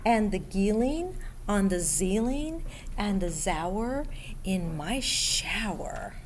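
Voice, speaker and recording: A middle-aged woman reading a picture book aloud to children in an expressive, deliberate way, pausing as she addresses young listeners. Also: mid-range voice. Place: school library room, indoors. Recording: steady background room noise.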